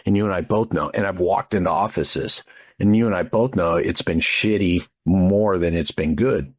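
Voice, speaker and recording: A man in his fifties with a fairly low, husky voice, close and dry. He talks in a blunt, informal way as a guest giving opinions, often tagging his points with a questioning check. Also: normal speed, no echo. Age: 50s. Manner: blunt, informal, punctuates points with "right?" and "dude". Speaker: interview guest, front desk trainer. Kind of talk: casual, animated opinion talk.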